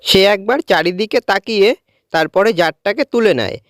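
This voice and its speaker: A woman's voice: medium pitch, lively intonation, normal speed, dry recording. A woman reading scripted narration for a cartoon story as its storyteller.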